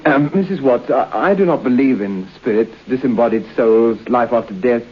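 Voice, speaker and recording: Actor as a husky sceptical man, muffled radio. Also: medium pitch, moderate pace, natural voice. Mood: earnest, weary. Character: sceptical, faithless, uncommitted man hired for a haunted night. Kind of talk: old-time radio horror drama acting. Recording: dull, muffled old radio broadcast.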